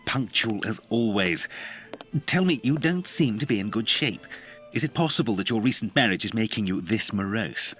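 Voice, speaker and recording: An actor playing a genial, candid Victorian hospital doctor in a video game, talking politely and familiarly. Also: male voice, lowish voice, animated, moderate pace, a bit bright, soft background music. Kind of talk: dramatic video game dialogue.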